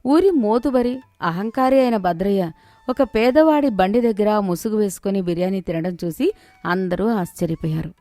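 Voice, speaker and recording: A woman's voice: medium pitch, normal speed, dark tone, dry recording. A woman narrating a scripted moral story. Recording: dry studio, music underneath. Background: background music.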